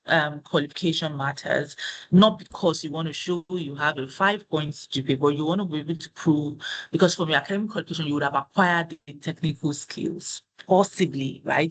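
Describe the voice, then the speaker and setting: A man's voice: a little high, fairly bright tone, rough recording. A man moderating an online career panel, explaining points at length and leaning on "you know" and "right?" as he talks. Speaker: webinar moderator.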